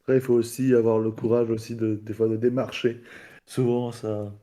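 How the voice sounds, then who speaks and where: Mellow, mid-pitched male podcast guest. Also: level tone, normal speed. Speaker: podcast participant. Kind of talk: casual chat.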